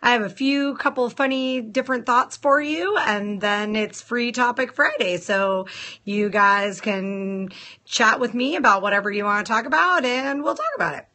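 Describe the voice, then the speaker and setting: A woman hosting a casual live stream, chatting playfully with viewers and greeting them in a sing-song lilt, her voice a little rough from a sore throat. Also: on the high side, moderate pace, bright, forceful tone. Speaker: nail salon owner hosting a live stream.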